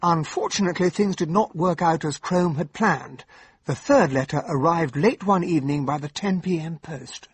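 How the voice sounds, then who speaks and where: An actor playing a loyal English gentleman sidekick in a radio drama, earnest and well-spoken with Received Pronunciation. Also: fairly high pitch, moderate pace, husky. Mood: measured, narrating. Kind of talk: radio drama narration and dialogue.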